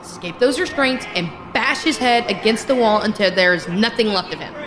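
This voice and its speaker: A woman's voice: mid-range voice, normal speed, close to an everyday voice. A woman playing a weary, bitter psychiatric ward nurse with an emphatic manner in a horror audio drama. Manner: exasperated, emphatic.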